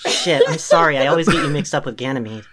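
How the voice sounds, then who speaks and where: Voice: medium pitch, fast, a crowd in the background. A podcast host in casual comedic chat, laughing and reacting playfully while reading listener posts.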